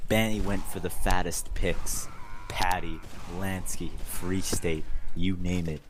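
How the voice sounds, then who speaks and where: A young man with a deep voice talks and reads with playful mock drama, like a friend performing for a small group. His delivery is informal and theatrical. The sound is group voice chat with clear background noise. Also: fairly even intonation, slow. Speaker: reader of a fan fiction among gaming friends. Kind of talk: mock-dramatic story reading and casual banter. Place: online voice chat. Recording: noisy group voice-chat audio.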